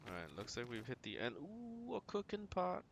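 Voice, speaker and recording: A man's voice: medium pitch, huge pitch swings, normal speed, slight background noise. A man streaming a video game, giving casual running commentary and often muttering to himself as he plays. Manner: muttering to himself while playing.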